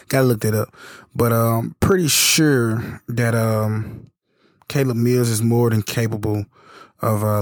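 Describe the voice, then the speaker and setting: A man with a hoarse, worn voice talks through a casual solo podcast. His delivery is halting and loose, packed with fillers and restarted sentences. His voice sits at an ordinary middle pitch, recorded close and dry with no room sound. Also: dry recording. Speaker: podcast host and youth basketball coach.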